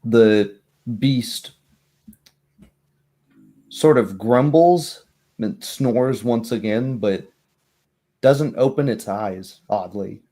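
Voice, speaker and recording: A man narrating a tabletop roleplaying game as game master, in a measured way. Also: medium pitch, warm tone.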